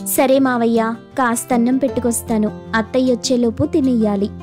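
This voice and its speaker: A woman's voice: a little high, steady tone, normal speed, a little mellow, faint music behind, lightly acted. A woman playing a meek, dutiful young daughter-in-law who speaks timidly and respectfully in a cartoon moral story. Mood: worried, kind. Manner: deferential, timid. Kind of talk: dramatic Telugu moral story cartoon dialogue.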